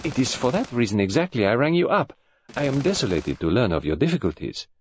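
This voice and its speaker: A man playing a polite, brisk foreign detective with a French-speaking accent and formal, precise phrasing in an audiobook. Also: mid-range voice, sing-song, slight background noise, pitched above the performer's own voice, close to an everyday voice. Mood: courtly, persuasive.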